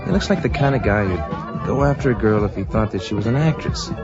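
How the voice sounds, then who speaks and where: A man's voice: fairly low pitch, animated, a little fast, close to an everyday voice. A man playing a breezy, joking bartender in an audio drama, with a casual, wry way of talking. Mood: wry, teasing. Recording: dull lo-fi, loud music behind.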